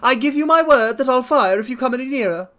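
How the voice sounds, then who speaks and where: A man voicing a nervous, easily panicked Victorian gentleman with a reedy, quivering voice in a dramatic story reading. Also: very high-pitched, expressive, fast, pitched above the performer's own voice, heavily acted. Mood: frightened, wary. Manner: agitated, jangled nerves.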